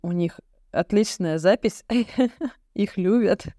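A woman's voice: medium pitch, slow pace, mellow, no room sound, clean studio sound. A woman co-hosting a podcast, chatting casually and expressively with a friend and explaining things with examples. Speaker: podcast co-host. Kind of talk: casual chat between friends.

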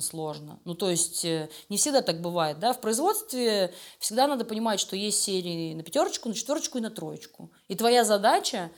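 Woman in conversational, emphatic interview talk. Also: lowish voice. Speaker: interview guest, animation studio creative director.

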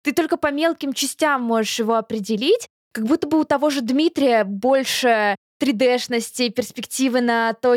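A young woman with a high voice in a very dry, close recording. She talks as a podcast co-host in casual chat, informal and full of slang. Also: dry recording. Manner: informal, youth slang, English loanwords. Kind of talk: casual chat about films.

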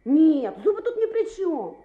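Schoolboy in love, high and very lively, boastful; muffled radio-play sound. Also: female voice, unhurried, heavily acted. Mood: indignant. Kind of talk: Soviet-style radio play acting. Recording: muffled, echoey old radio play with noise.

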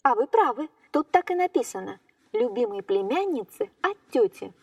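A woman playing a lively, playful woman turned into a train in a children's fairy-tale podcast. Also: high voice, moderate pace, vocal fry, dry recording, recorded from a distance, muffled recording, full character voice. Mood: curious, teasing. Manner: animated, exclamatory, playful.

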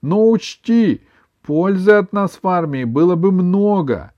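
A man's voice: high-pitched, animated, darkish tone, muffled recording, voice pushed up. Man as a bossy, emphatic old grandmother. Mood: tearful, hurt. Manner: emphatic, scolding, drawn-out plaintive delivery. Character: bossy, doting, indignant, tearful old grandmother. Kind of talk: children's story reading.

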